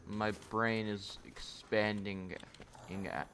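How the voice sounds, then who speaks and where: A man giving live commentary over his own gameplay, talking to himself and shouting at enemies as things happen. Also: on the low side, takes it slowly, slight background noise. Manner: excitable, talks to himself and at enemies. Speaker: gaming YouTuber.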